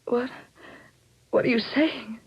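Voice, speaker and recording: An actress playing a high-strung, determined widow with a dramatic, urgent delivery in a 1940s radio mystery. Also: female voice, takes it slowly, husky, exaggerated voice. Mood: defensive, upset. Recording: dull old radio recording.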